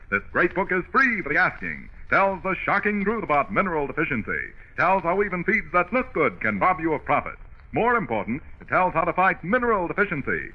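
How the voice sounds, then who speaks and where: High-voiced, emphatic vintage radio pitchman. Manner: emphatic, polished. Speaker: radio announcer. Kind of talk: 1940s radio advertising pitch. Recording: dull vintage 1940s radio recording.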